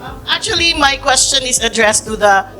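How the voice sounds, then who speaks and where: A woman's voice: high voice, animated, normal speed, pushed and bright, faint music behind. A woman in the audience asking a panel a playful question.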